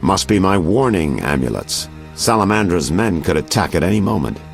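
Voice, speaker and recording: A seasoned detective in a fantasy game. The voice is extremely deep and gravelly, with big swings in intonation. He sounds self-assured and condescending. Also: big pitch swings, normal speed, soft background music, heavily acted. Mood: reassuring. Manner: confident, condescending. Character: self-assured seasoned male detective, smooth-talking and secretive. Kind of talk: video game voice acting.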